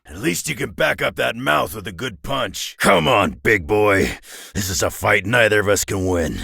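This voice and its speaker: An actor playing a gruff, cocky, battle-hardened brawler in a video game, with a raspy growl and a dry, sardonic delivery. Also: male voice, fairly low pitch, lively intonation, moderate pace, pushed and bright, no echo, heavily acted. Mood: combative, taunting.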